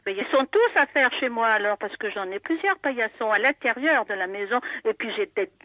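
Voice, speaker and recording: A woman's voice: a little high, expressive, fairly quick, no room sound. A woman in casual conversation over the telephone, puzzled but cooperative, heard through a dull, narrow line. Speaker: prank call victim.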